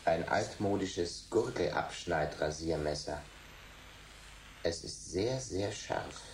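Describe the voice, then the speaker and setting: An actor playing a middle-aged prankster dinner guest in a radio play, teasing and lowering to a menacing hush. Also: male voice, slow pace, slight background noise.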